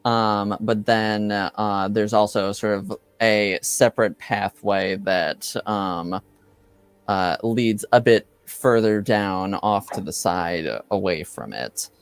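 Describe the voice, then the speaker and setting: A man narrating a tabletop game as game master in a hesitant, halting way. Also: fairly low pitch, clear, resonant tone, no echo.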